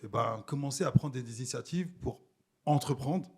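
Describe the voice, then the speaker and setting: A man's voice: medium pitch, brisk, husky. A man hosting a podcast in casual interview chat, informal and a little hesitant, dropping in English slang. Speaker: podcast host.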